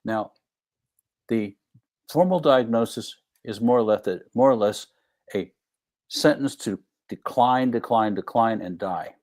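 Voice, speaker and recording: A man's voice: on the low side, measured pace, darkish tone. A man speaking as a guest doctor in a live discussion, explaining things in emphatic, declarative statements.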